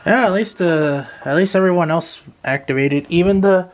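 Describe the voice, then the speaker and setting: A man's voice: high-pitched, moderate pace, a little hiss or hum. A man chatting casually as a radio hobbyist about his equipment, recorded at home. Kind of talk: casual chat about weather radio receivers.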